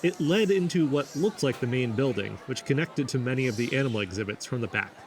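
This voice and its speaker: A man playing an earnest amateur investigator, narrating a podcast drama in a measured storytelling manner. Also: medium pitch, animated, a little mellow, close to an everyday voice. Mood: intrigued. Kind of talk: scripted first-person narration.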